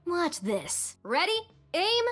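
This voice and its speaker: Squeaky-high, bright, feisty little gunner creature. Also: female voice, big pitch swings, takes it slowly, exaggerated voice. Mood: gung-ho. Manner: cocky, punchy battle quips. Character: small feisty cheerful female gunner creature from a fantasy game. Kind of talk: video game character voice lines.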